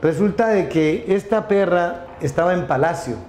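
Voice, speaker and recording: A man's voice: high voice, moderate pace, darkish tone. A middle-aged man telling a story in a casual interview, rambling with many restarts and repeated words. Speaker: company founder, interview guest. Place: room with wooden wolf statue, indoors. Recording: echoey room, faint background noise.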